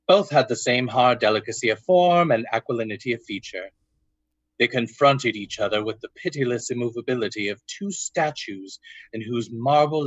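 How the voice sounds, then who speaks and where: A man's voice: medium pitch, a bit bright, no room sound. A man narrating a literary radio drama from a script, in a muffled, dry recording.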